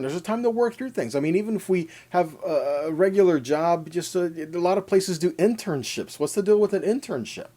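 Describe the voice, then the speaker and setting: Higher-pitched, mellow man preaching informally. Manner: emphatic, repeats phrases in parallel lists; conversational, addresses listeners directly. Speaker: preacher teaching a scripture lesson. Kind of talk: informal sermon with scripture readings.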